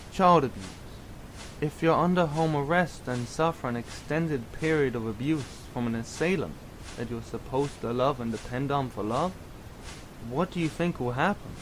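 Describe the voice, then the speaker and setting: A man playing a grave, reflective young man, lecturing in a measured, explanatory way in an audio drama. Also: medium pitch, slight background noise, lightly acted, low-quality recording.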